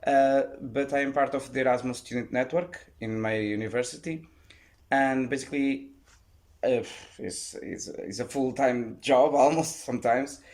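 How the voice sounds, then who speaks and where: A man's voice: mid-range voice, steady tone, moderate pace, a little mellow. Man hosting a casual podcast. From Portugal. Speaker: podcast host. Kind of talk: casual chat.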